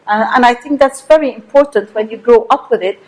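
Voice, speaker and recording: A woman in her forties speaking on a seminar panel, measured and pausing often on fillers. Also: on the high side, a little mellow, rough recording. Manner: measured, with frequent filler pauses.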